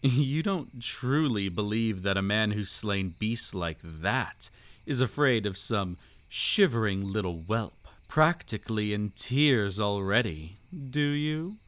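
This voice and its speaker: Man playing a slow, seductive vampire count. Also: on the low side, lively intonation, a bit bright, slight background noise. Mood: haughty, amused. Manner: velvety, taunting, theatrically aristocratic, drawn-out delivery. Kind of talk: scripted romantic roleplay audio, intimate monologue.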